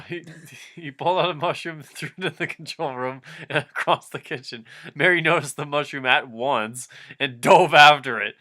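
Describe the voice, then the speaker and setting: A man with a bright, forceful voice reads a story aloud in a mock-dramatic way. He keeps breaking off into casual, amused asides and stumbles back into the narration. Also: mid-range voice. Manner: breaks into giggles, mock-dramatic, self-interrupting. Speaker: reader of a fan fiction dramatic reading.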